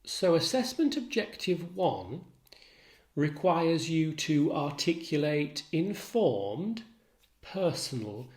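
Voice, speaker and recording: A man lecturing as a literature tutor, measured, articulate and instructive in his delivery. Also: a little high. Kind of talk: literature lecture with recited quotations. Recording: roomy echo.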